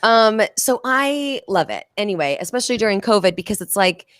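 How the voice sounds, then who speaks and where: A woman's voice: mid-range voice, lively intonation, brisk, a bit bright, clean tone, no echo, clean studio sound. A woman co-hosting a comedy show, chatting casually in an effusive, slangy way. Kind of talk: casual group banter.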